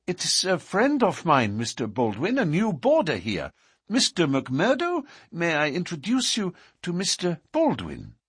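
Give the storybook's frightened young sweetheart as a man acts her out. The voice is lifted high, husky and very lively. She is breathless and imploring, a young woman pleading out of love. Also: unhurried, no echo, studio quality, heavily acted. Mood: anxious, polite.

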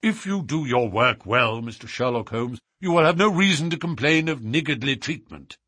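Man playing a stern old duke, husky voice. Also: mid-range voice, animated, moderate pace, bright, forceful tone, no echo, pitched above the performer's own voice. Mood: impatient. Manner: stately, curt, grave. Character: stern, haughty older nobleman, a duke. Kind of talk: audiobook character voice.

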